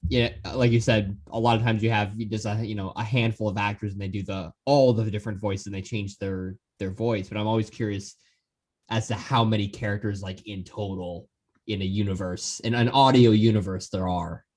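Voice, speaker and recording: A man's voice: on the low side, fairly even intonation, normal speed, a little mellow. A man hosting a podcast, asking casual, rambling interview questions.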